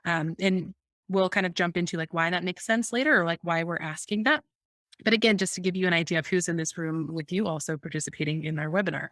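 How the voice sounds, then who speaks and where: A woman's voice: fairly low pitch, fast, a bit bright, dry recording, studio quality. A woman moderating a webinar as host, talking in a light, easygoing way.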